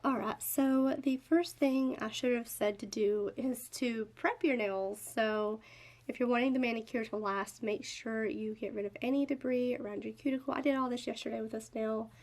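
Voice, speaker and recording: A woman's voice: a little high, normal speed, a little mellow. A young woman with a nasal, stuffy voice from a head cold, chatting in a casual, upbeat way while she shows nail polish swatches. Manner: chatty and upbeat, lots of fillers. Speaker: nail art vlogger. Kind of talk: casual product review and tutorial.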